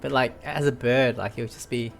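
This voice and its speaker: Woman gamer chatting over a playthrough, low voice, giggly little asides, faint game music. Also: fairly even intonation, fairly quick, gravelly. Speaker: video game player commentating. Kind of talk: casual playthrough commentary.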